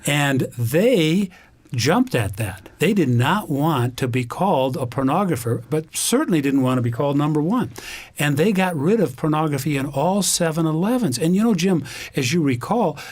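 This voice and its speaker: A man's voice: on the high side, lively intonation, moderate pace, a little mellow, dry recording. A man speaking as a guest on a radio talk show, explaining things earnestly. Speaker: interview guest. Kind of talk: conversational radio interview.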